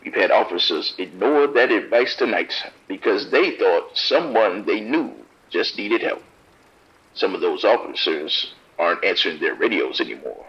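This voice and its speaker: Male actor as weary, firm cop on an emergency call, horror drama; low-pitched, dulled line. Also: expressive, moderate pace. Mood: stern, grave. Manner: blunt, commanding, grave. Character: overwhelmed, grim, firm male police officer. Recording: dull, band-limited phone-line sound.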